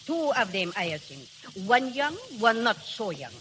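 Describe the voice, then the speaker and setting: High-voiced, coy French temptress. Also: female voice, sing-song, a bit bright, a little hiss or hum. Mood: smooth, scheming. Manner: coy, halting English with French words. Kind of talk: 1930s radio acting. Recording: old 1930s radio recording, faint music.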